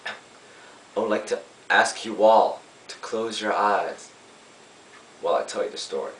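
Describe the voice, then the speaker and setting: A man playing a young, earnest defense lawyer, pleading to a jury in an emphatic, oratorical monologue with deliberate pauses. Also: fairly low pitch, fairly bright tone. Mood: solemn. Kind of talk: dramatic courtroom monologue. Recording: roomy, distant mic, faint hiss.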